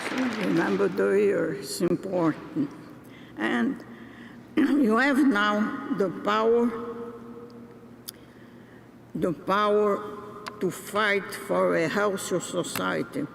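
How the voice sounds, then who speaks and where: An older woman from Brazil giving a graduation address, speaking in a deliberate, halting way with pauses and restarts. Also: mid-range voice, lively intonation, gravelly, some chatter behind. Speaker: commencement speaker. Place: large ceremony venue. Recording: reverberant hall with faint noise.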